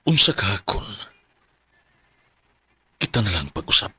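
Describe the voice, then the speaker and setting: An actor playing an ardent, pleading middle-aged former sweetheart in melodramatic Cebuano radio drama style. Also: male voice, low voice, very animated, measured pace, heavy background noise. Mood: tender longing.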